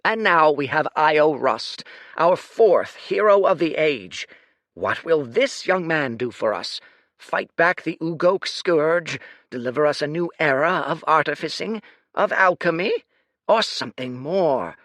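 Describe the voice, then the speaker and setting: A scheming old lord makes a grand public speech in a raised, lively voice, as a man puts him on. His tone rises and falls widely, and the sound is dry and close. This is storybook narration. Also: on the high side, unhurried, dry recording, pitched above the performer's own voice. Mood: grandiose. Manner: declamatory, oratorical.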